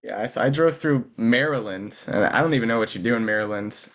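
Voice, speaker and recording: A man's voice: mid-range voice, a little fast, fairly bright tone. A man hosting a podcast in a friendly, chatty way, asking questions and laughing easily. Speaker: podcast host. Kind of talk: casual interview chat.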